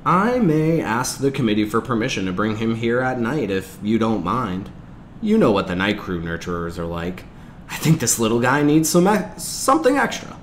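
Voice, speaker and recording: A man's voice: medium pitch, very animated, normal speed, a little hiss or hum, close to an everyday voice. A man voicing a kindly, concerned father in a storybook, caring and earnest. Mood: hopeful.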